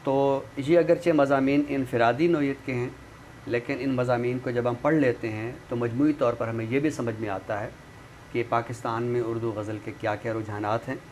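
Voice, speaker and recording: Male literary commentator, mid-pitched, formal and measured delivery, some background noise on the recording. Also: steady tone, darkish tone. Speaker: literary commentator and guest expert. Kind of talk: literary talk and book review with some poetry recital. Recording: clearly noisy background.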